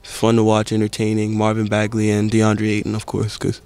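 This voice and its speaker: A teenage basketball prospect from the Bahamas, answering interview questions in a calm, even way into a handheld mic. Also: male voice, on the low side, normal speed, clear, resonant tone, dry recording. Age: teens. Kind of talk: post-game press interview. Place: indoor camp media backdrop. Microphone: handheld reporter mic held close.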